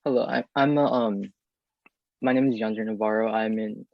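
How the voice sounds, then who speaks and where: A man's voice: lowish voice, fairly even intonation, moderate pace, vocal fry, no room sound. A teenage boy introducing himself on a video call, hesitant and stumbling over his words. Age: teens. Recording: dull, dry video call audio.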